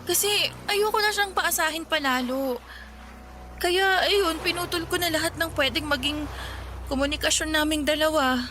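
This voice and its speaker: A very high, bright voice, a meek, ailing young Filipina in a radio drama. She sounds plaintive and polite, with faint noise behind her. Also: normal speed, long pauses. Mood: puzzled, hesitant. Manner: plaintive, hesitant, respectful. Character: suffering, meek, polite young female office worker.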